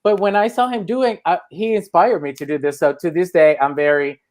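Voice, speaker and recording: A man hosting a live-streamed talk show, excitable and gushing, with lots of fillers. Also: sits high, fairly quick.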